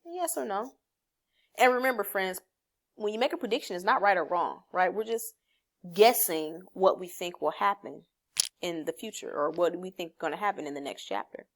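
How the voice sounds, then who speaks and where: A woman's voice: lowish voice, moderate pace. A woman teaching a read-aloud lesson to children, explaining simply and encouragingly, posing questions and then answering them herself. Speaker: elementary reading teacher.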